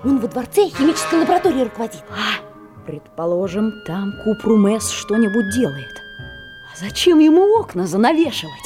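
An actress playing an observant, suspicious schoolboy with a conspiratorial manner in a children's radio play. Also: female voice, fairly high pitch, very animated, moderate pace, long pauses, over music. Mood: explaining eagerly.

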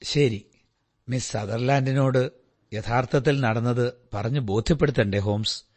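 A man's voice: medium pitch, measured pace, a little mellow, natural voice. An actor playing a detective's attentive male companion in a Malayalam radio drama, earnest and questioning. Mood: concerned.

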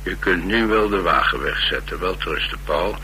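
A man's voice: sits low, animated, normal speed, sharp tone, low-quality recording. A man voicing a stiff, unflappable wealthy employer in a radio drama, formal and composed. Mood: composed, dismissive. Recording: radio drama with faint hum and noise.

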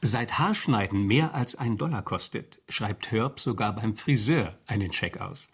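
A man playing a flattering, chatty insurance salesman in an audio drama. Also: fairly low pitch, unhurried, slightly rough, exaggerated voice. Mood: ingratiating.

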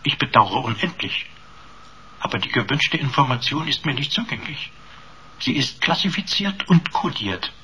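Bright, cheeky talking computer. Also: male voice, mid-range voice, sing-song, moderate pace, slight background noise. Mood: mock-servile, sarcastic. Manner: sarcastic, mock-servile, flippant. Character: cheeky, easily offended male talking computer sidekick. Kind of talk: radio play acting.

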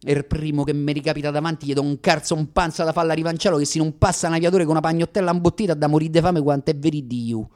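An actor playing a boastful Roman street bully in a comic theatrical monologue, with a thick Roman accent and a swaggering, declamatory slangy delivery. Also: male voice, fairly high pitch, steady tone, quick pace, few pauses, a little mellow, close to an everyday voice. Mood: boastful storytelling. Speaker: stage actor performing a one-man radio play.

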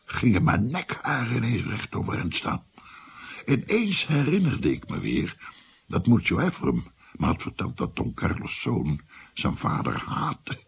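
A man playing an old grandfather in a radio drama, recounting his dark past with halting, musing delivery and a gravelly, breathy voice. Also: low-pitched, full character voice. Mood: hushed, musing. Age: older. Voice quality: husky, gravelly, often breathy. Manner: halting, musing, drops to whispers.

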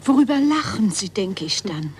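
An actress playing a worried, homely housekeeper in a 1940s German radio play. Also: female voice, medium pitch, big pitch swings, moderate pace, darkish tone, a little hiss or hum, natural voice.

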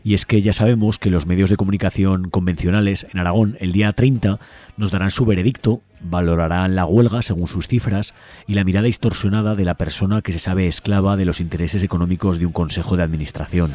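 A man with a Spanish accent from Spain hosts community radio from a studio. His voice is deep and soft-edged as he reads a prepared announcement, with music faintly underneath. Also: fairly even intonation, quick pace.

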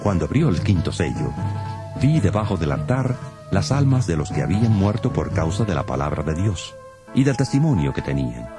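Deep-ish guy narrating the bible, solemn and dramatic, music playing behind him. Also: sing-song, moderate pace.

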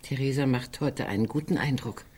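An actress playing a caustic, morbid elderly sister in a radio play, speaking dryly and deliberately. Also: female voice, rumbling low, a little mellow, husky. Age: older. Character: sharp-tongued, dry, morbid elderly sister Greta. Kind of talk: naturalistic radio play acting.

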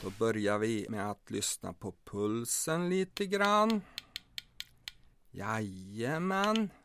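An actor playing a boastful, quick-tempered rural safecracker in an audio drama, with a folksy manner. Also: male voice, on the low side, big pitch swings, a little hiss or hum. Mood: folksy, smug. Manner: folksy, boastful, flares into shouting.

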